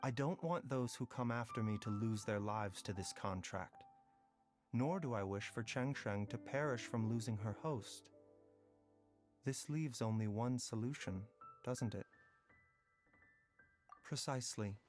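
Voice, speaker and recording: A man playing a gentle, philosophical young doctor in a video game, with measured, articulate and reflective delivery. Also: medium pitch, close to an everyday voice. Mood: calm, persuasive. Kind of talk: scripted video game voice acting. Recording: music playing behind the voice.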